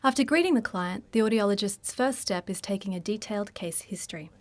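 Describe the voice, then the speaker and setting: A woman delivers scripted educational narration. Her voice sits at an average pitch, and she explains each step formally and methodically, like the narrator of a training video. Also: fairly quick.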